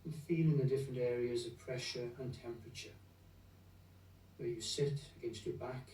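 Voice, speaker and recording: A man leading a guided meditation in a gentle, unhurried, soothing manner, leaving long pauses between phrases. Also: mid-range voice, a little hiss or hum. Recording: roomy echo, distant from the mic.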